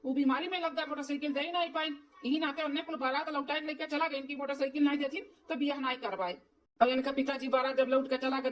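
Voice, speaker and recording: A woman's voice: high voice, recorded from a distance, natural voice, poor audio. A woman voicing an earnest, dutiful stepson in an amateur cartoon, explaining and pleading with an Awadhi accent. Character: earnest, dutiful adult stepson and new husband.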